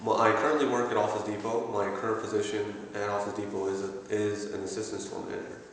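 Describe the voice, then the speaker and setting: A man in his thirties from Pakistan, answering interview questions with many pauses and restarts. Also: lowish voice, little pitch movement, far from the mic. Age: 30s. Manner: hesitant, many fillers and restarts. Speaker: interviewee, assistant store manager. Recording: echoey room, voice from afar, faint noise.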